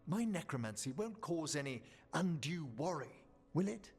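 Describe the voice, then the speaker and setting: A man's voice: fairly high pitch, very animated, normal speed, a little mellow, husky, faint music behind, heavily acted. A man voicing a courteous, scholarly older necromancer professor in a video game, speaking politely and formally. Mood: politely concerned.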